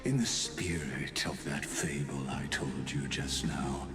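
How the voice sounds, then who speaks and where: A man's voice: rumbling low, fairly even intonation, slow. A man playing a coldly patient imprisoned genius villain in a video game, speaking in theatrical, pompous monologues. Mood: calm, menacing. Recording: echoing voice with game music behind.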